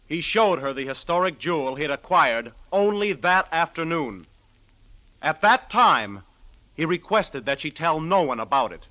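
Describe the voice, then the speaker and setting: Animated, earnest American assistant prosecutor. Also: male voice, on the high side, poor audio. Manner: formal, deliberate testimony. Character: earnest male assistant district attorney giving evidence. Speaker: actor in a radio play. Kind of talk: old-time radio drama acting.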